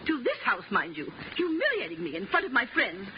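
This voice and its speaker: An imperious society wife with a fussy, cold manner. Her delivery swings widely in pitch, in theatrical 1950s radio style. The old recording is slightly muffled with faint hiss. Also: huge pitch swings, brisk. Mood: cold, indignant. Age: middle-aged or older. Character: haughty, cold, nagging society mother. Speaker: supporting actor. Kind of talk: 1950s radio drama acting.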